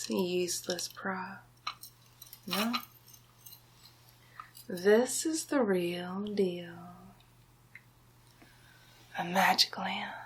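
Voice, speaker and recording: A woman playing a scheming, power-hungry royal vizier in an ASMR villain roleplay, speaking softly and deliberately with theatrical menace and often dropping into a whisper. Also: mid-range voice, background noise. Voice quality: soft, breathy, half-whispered.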